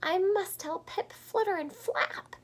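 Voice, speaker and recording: A woman voicing an innocent young female bat in a storybook, earnest and squeaky, with wide-eyed exclamations. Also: slow. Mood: delighted.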